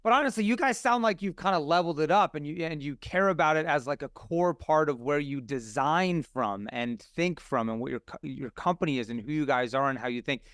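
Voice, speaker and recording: Male podcast guest, slightly high smooth voice, dry recording, earnest and effusive. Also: fairly quick, hardly any pauses, fairly bright tone, no echo. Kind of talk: casual podcast chat.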